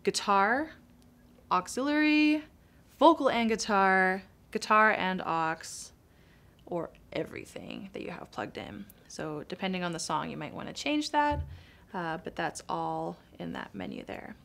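A woman's voice: medium pitch, expressive, unhurried, a bit bright. A young woman casually walking through a device's menu settings in a small studio, chatty and upbeat, gesturing as she explains. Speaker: product demo presenter. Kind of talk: casual product walkthrough. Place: small studio room with acoustic foam.

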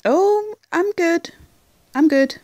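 A woman's voice: very high voice, slow, a little mellow, no echo, studio quality. A woman playing a cocky, menacing rogue in an audio drama, taunting and self-assured. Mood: mocking. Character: cocky, mocking, menacing female rogue troublemaker. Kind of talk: fan audio drama acting.

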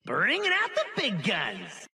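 Bright, cocky game gunner. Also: female voice, medium pitch, wildly swooping pitch, slow pace, breathy edge, full character voice. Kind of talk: video game character battle lines.

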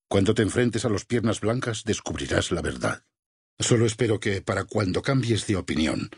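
An actor with a Castilian Spanish accent playing a solemn, devout, burned missionary warrior in a video game, raspy and gravelly, speaking in a grave, measured, preacherly way. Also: male voice, low voice, no echo, clean studio sound, heavily acted. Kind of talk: dramatic game dialogue.

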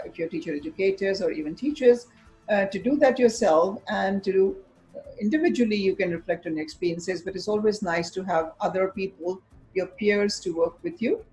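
A lower-voiced woman from Pakistan, for whom English is a second language, reflects on her teaching career into a desk webcam in a book-lined office. She talks in a measured, thoughtful way, pausing on "uh" and "um" and restarting her phrases. Faint music plays behind her. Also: a little mellow, poor audio. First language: not English. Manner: thoughtful, many fillers and restarts. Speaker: teacher educator. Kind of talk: reflective talk to camera. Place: office with bookshelves, indoors.

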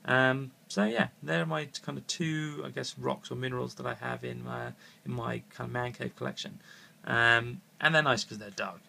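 A man's voice: mid-range voice, steady tone, normal speed, vocal fry, pushed and bright. A man hosting a casual show-and-tell, talking in a rambling, hesitant way with frequent restarts, recorded indoors at home by a camera aimed at his hands on a table. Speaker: show host.

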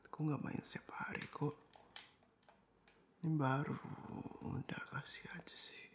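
A young man in a boyfriend roleplay, talking softly and coaxingly in a half-whisper, dropping English phrases into his speech. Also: on the high side, level tone, unhurried.

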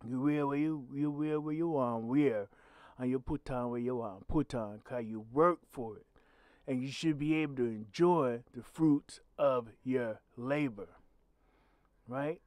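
A man with a growly, mid-pitched voice delivers solo commentary on his own channel. He talks bluntly and emphatically, swearing freely as he makes his point. The sound is dry and close, with no room echo. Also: measured pace, a little mellow. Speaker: channel host.

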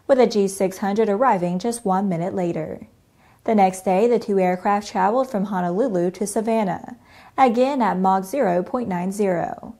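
A young woman anchoring a news show in a studio, reading a script in a steady, even newsreader delivery. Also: medium pitch. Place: studio in front of a green screen.

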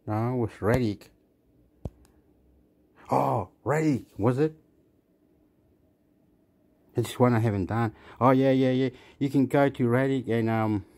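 A man's voice: mid-range voice, a little mellow. A man with an Australian accent gives a rambling commentary over a phone screen recording, repeating himself and restarting his words. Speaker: vlogger.

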